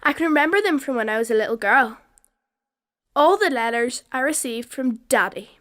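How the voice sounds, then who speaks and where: A woman's voice: high voice, animated, moderate pace, fairly bright tone. A female performer playing an earnest twelve-year-old girl reading her letter in a radio play. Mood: earnest, wistful.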